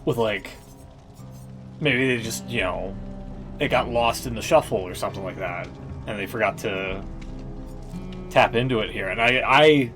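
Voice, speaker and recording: A man's voice: on the low side, moderate pace, long pauses, fairly bright tone. A man giving casual live-stream commentary on a game in a blunt, rambling way. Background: faint music.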